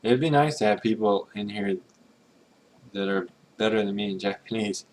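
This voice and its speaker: A man's voice: fairly low pitch, normal speed, slight background noise. A man streaming himself as he works through a game's text, thinking aloud and trying readings in a tentative, self-correcting way. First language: English. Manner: musing, tentative, mutters Japanese readings to himself. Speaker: streamer translating a game. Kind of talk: casual thinking-aloud commentary.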